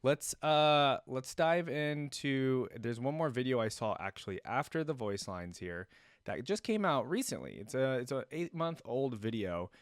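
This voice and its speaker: A man's voice: mid-range voice, lively intonation, measured pace, no room sound, clean studio sound. A man hosting a reaction video, musing aloud in casual, rambling, hesitant commentary.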